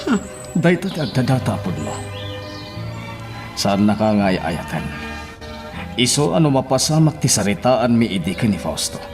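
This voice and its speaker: Middle-aged Filipino dad for radio drama: deep, expressive, evasive yet protective, music underneath. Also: male voice. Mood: firm, protective.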